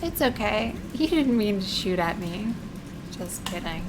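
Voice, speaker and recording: A woman's voice: medium pitch, lively intonation, a little slow, background noise. A woman playing a game and commenting casually, playful and full of exclamations. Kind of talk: excited gameplay commentary, casual chat.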